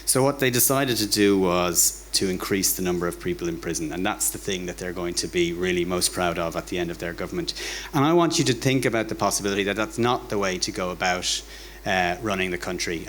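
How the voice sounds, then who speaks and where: A man with an Irish accent and a fairly low voice speaks to a live audience in an informal lecture style. His delivery is conversational and wry, with words often repeated. There is faint noise from the venue behind him. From Ireland. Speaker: academic giving a public talk. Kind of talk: informal public lecture with dry humour. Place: bar hosting a talk night.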